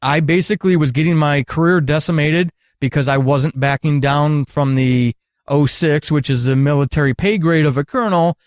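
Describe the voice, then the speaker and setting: Higher-pitched middle-aged man, talking earnestly. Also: normal speed, no echo, clean studio sound. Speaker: podcast guest, retired military counselor. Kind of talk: candid interview storytelling.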